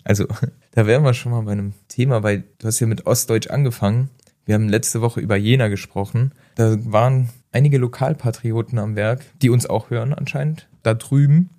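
A man's voice: medium pitch, a little fast, dark tone. Easygoing male co-host from Saarland, mid voice, laughs often, hesitant. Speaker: podcast co-host, former footballer. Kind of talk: casual chat.

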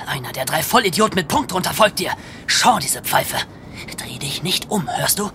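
A hot-tempered petty crook in an audio drama, swinging widely in pitch as he snaps and hisses orders at his partner. Music runs behind the irritated, bossy voice. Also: lively intonation, normal speed, pushed and bright. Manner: hissing, snappish orders.

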